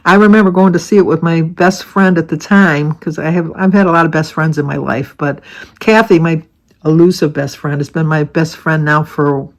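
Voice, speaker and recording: A woman in her seventies talks to her viewers in a loose, wandering way, drifting from one subject to the next. Her voice has a slight rasp. She sounds relaxed and chatty, speaking from home. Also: low-pitched, moderate pace. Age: 70s. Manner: rambling, chatty, wanders off topic. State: slightly raspy voice. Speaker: vlogger. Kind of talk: casual chat to camera.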